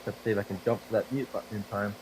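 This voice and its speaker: A low-pitched young man whose voice is hoarse and fraying from a cold. He rambles and swears through casual game commentary, mocking himself as he goes, into a desk mic with a faint hiss underneath. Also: steady tone, rapid-fire. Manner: profane, rambling, self-deprecating. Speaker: gaming video creator. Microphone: desktop microphone.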